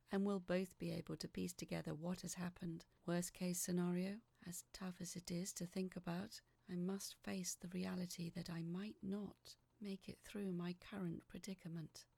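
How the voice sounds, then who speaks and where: A woman narrates a scripted, dramatic first-person story. Her voice is full of gravelly creak and drops softly at the ends of phrases. She keeps a measured delivery, and the sound is dry, with no room around it. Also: mid-range voice, no room sound. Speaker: storyteller reading a letter aloud.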